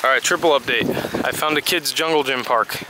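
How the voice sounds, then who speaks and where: A man's voice: medium pitch, brisk, heavy background noise. A young man talking to a handheld camera as he travels, chatty and jokey with his viewers. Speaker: travel vlogger. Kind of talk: casual vlog narration. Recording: loud music behind the voice.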